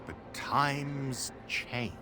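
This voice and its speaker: A man's voice: medium pitch, steady tone, very slow, noisy background. An actor playing an effusive, sly male treasurer in a game cutscene, with florid, theatrical speech. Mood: theatrical, wistful. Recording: music behind.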